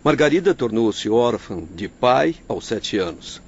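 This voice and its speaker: An actor playing a compassionate doctor who explains solemnly and oratorically in an audio drama. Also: male voice, medium pitch, big pitch swings, a little slow. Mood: solemn explaining. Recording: dull lo-fi audio, faint noise.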